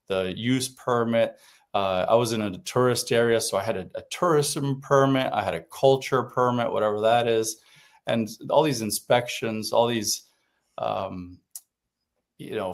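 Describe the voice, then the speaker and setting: A man from Puerto Rico whose first language is Spanish, a guest reflecting in an interview with frequent restarts and fillers. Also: medium pitch. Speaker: podcast guest. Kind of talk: conversational interview.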